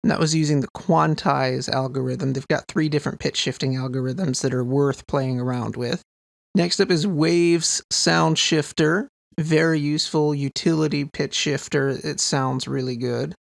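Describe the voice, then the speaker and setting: A man with a fairly high voice and noticeable vocal fry walks through a tutorial in an informal, explanatory way. He sounds keen on his gear, and the voice is recorded close and very dry. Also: steady tone, normal speed, dry recording. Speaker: sound designer presenting a tutorial. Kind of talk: casual explanatory tutorial narration.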